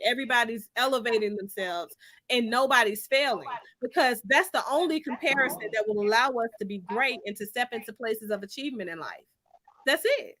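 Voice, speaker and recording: A woman's voice: a little high, bright, forceful tone, dry recording. A woman from Finland speaking in an opinionated discussion, making her points in an emphatic, insistent and argumentative way.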